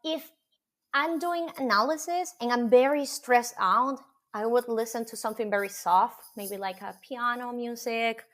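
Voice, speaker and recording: A woman with a Venezuelan Spanish accent talks in English on a podcast, her first language being Spanish. Her voice sits a little high, and she gathers her thoughts as she goes, pausing on ums and restarting sentences. The tone stays relaxed and conversational. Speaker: podcast guest. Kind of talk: casual interview chat.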